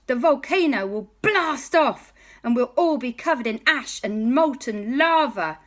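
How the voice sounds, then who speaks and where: A woman's voice: a little high, voice pushed up. A woman voicing a panicky male superhero, speaking in urgent alarm.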